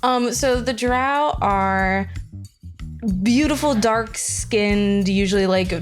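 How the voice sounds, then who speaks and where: A woman's voice: on the high side, takes it slowly, soft background music. A woman chatting casually as a podcast panelist in a playful, emphatic and sardonic way. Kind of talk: casual chat.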